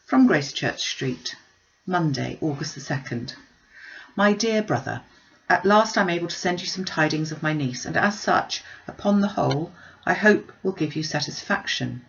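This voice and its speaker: Creaky, low-pitched woman reading a novel. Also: lively intonation, moderate pace. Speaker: audiobook narrator. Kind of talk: reading a classic novel aloud. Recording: slightly dull, muffled recording.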